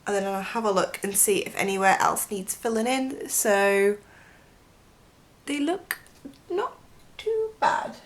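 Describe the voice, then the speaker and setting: Young woman, croaky from a chest infection, chatty and gushing, makeup tutorial vlogger, close to the camera, bedroom. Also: mid-range voice, animated, takes it slowly, pauses often. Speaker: beauty vlogger. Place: bedroom with a dressing table, indoors.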